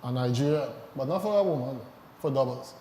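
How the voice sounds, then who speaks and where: A man's voice: medium pitch, a little fast, dark tone, echoey room, big-room sound, a little hiss or hum. A man co-hosting a casual talk show, trading jokes and stories. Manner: joking, storytelling banter. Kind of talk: casual chat.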